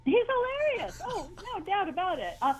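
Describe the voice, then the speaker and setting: An older woman with a high voice, chatting in an interview as a guest, talking in long rambling runs full of restarts and laughs. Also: huge pitch swings, fairly quick, a loud crowd. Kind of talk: casual interview chat.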